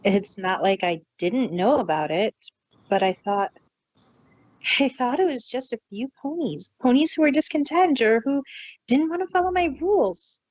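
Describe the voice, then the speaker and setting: Casting: a woman as earnest, wounded young pony princess, high swooping voice, halting and fretful, dry dull recording. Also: expressive, darkish tone. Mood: upset, dejected.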